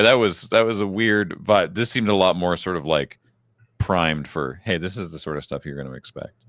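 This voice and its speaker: A man with a very deep, low voice talks casually on a podcast, wandering through a story with lots of restarts and fillers. The sound is dry and close to the mic. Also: a bit bright, no echo. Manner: rambling, self-interrupting storyteller. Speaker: podcast co-host. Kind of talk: casual recap chat.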